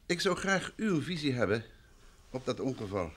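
Courteous detective with lively intonation. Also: male voice, medium pitch, husky. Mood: courteous, probing. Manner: polite, measured questioning. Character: amateur detective husband, courteous, composed, probing. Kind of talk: old Dutch radio drama acting.

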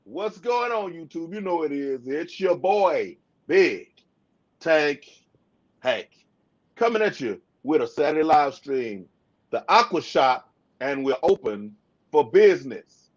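A man in his forties hosting a casual livestream from a room full of aquariums, talking in a relaxed, drawling way. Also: a little high, slow. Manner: laid-back, drawling, shout-outs to viewers. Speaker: livestream host. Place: home fish room with aquariums. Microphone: webcam video call. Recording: slightly dull video call audio.

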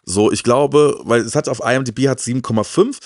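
A middle-aged man with a medium-pitched voice talks casually into a close handheld mic. The sound is dry. He is enthusiastic and slangy, often repeating words as he searches for the next one. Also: fairly quick, no echo. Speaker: video show host. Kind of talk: casual chat about retro cartoons. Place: small indoor room with shelves of films and games. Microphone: handheld microphone held close.

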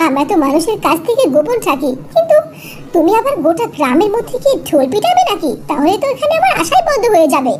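A woman's voice: very high-pitched, very animated, fast. A woman voicing a carefree, lazy but kind young fairy in a chatty, exclaiming cartoon manner. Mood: confiding. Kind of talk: Bengali fairy-tale cartoon acting. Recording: noticeable background noise.

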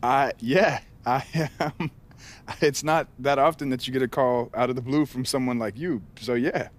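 An American actor playing an eager, easygoing young college basketball star in a video game, casual, earnest and a bit halting. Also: male voice, medium pitch, close to an everyday voice. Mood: puzzled. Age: young adult. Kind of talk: naturalistic video game cutscene acting.

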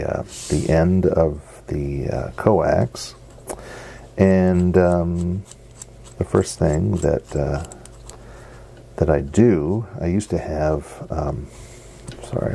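A middle-aged man, giving a casual hands-on demonstration in a halting, unscripted way. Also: rumbling low, creaky, mellow. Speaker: radio hobbyist presenter. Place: basement radio workshop, indoors. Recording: noisy home basement recording.

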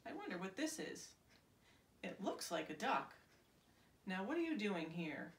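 A woman's voice: medium pitch, big pitch swings, unhurried, vocal fry. A woman reading as a folksy kindly builder. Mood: curious. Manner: folksy, surprised exclamations. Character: kindly middle-aged male builder. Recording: roomy, distant mic, faint hiss.

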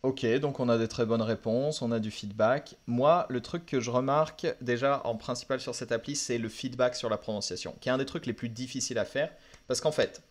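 Young french teacher guy chatting on camera, frank, lots of ums, mid-pitched voice. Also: a little fast. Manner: chatty, frank, thinks aloud with many fillers. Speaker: language teacher. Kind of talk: casual app review vlog. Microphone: camera mic.

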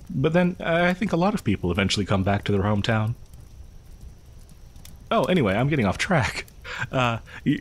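A man's voice: on the low side, slightly rough. A man playing a friendly, awkward young local who shares grim rumors in a hesitant, rambling, conversational way for an audio horror roleplay. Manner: hesitant, rambling, nervous chuckles.

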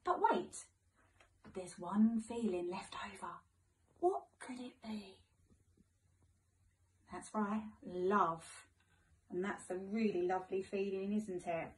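A British woman in her thirties, a teacher reading a picture book aloud to young children in an expressive, gentle way. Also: medium pitch, slow, long pauses. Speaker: primary school teacher. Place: living room, indoors. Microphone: phone camera a few metres away. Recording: echoey room, far from mic.

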